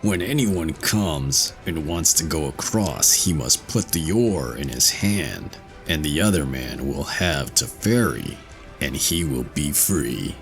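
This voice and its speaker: A man voicing a gruff, ill-tempered devil in a storybook reading, slow and husky. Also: a bass voice, animated, heavily acted. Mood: scornful. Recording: faint music behind.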